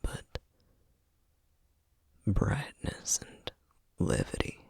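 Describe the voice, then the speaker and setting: A man playing a tired, tender sorcerer boyfriend and single dad with a Southern US accent, speaking in a hushed, husky, gentle and halting way for an intimate ASMR roleplay. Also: dry recording, clean studio sound. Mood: grateful, tender.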